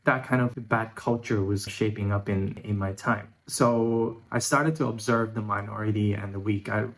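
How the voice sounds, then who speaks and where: A man's voice: fairly low pitch, steady tone, moderate pace. A man in his thirties from Hong Kong and Singapore, giving an informal, candid personal monologue as a vlogger. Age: 30s. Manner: informal, candid, occasional swearing. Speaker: YouTube vlogger. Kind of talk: personal reflective monologue to camera.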